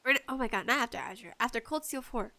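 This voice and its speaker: A woman hosting a casual, rambling solo podcast chat, enthusiastic and animated in her emphasis. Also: fairly high pitch, quick pace, vocal fry. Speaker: podcast host talking about video games.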